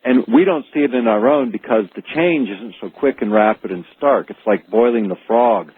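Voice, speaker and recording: A man speaking as an expert guest on a radio call-in show, explaining things in a halting but emphatic way. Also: fairly low pitch, slightly rough, no room sound. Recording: tinny phone-like radio line.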